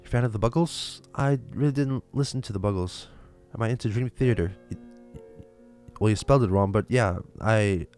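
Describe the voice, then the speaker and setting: Man, lithuanian accent, low voice, hesitant casual livestream talk, faint music behind. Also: a little mellow. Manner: halting, many fillers and repeated restarts. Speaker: livestream host. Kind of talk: casual livestream chat reading viewer questions.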